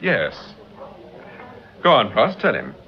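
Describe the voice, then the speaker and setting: A man playing a suave, unruffled gentleman detective with a British accent, urbane and understated, in vintage radio acting style. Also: mid-range voice, huge pitch swings, takes it slowly, full character voice. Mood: firm, accusing. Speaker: lead actor in a radio serial. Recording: muffled vintage radio recording with hiss.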